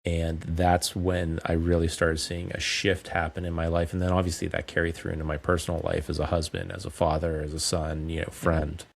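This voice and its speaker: Deep-voiced man in his forties. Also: monotone, creaky. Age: 40s. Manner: candid, measured storytelling. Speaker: guest, coach and speaker. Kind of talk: reflective podcast interview.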